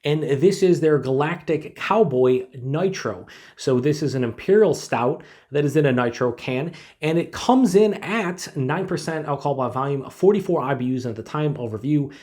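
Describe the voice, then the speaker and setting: A man's voice: medium pitch, a little mellow. Stuffy-nosed man casually reviewing a beer. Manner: laid-back, rambling with many fillers. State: stuffed up from a head cold. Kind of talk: casual solo review to camera.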